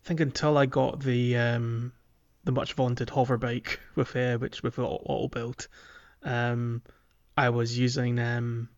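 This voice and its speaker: Male podcast host, mid-pitched, casual gamer chat with meandering, hesitant explanations, slightly lo-fi sound. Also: flat delivery, unhurried. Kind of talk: casual video game discussion.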